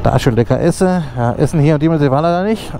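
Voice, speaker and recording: A man's voice: on the high side, quick pace. A man rambling casually to the camera while riding a motorcycle, with plenty of fillers. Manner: chatty, rambling, many fillers. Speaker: motorcycle vlogger. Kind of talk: casual ride commentary. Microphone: helmet intercom mic. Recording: loud wind and engine noise on helmet mic. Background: road and vehicle noise.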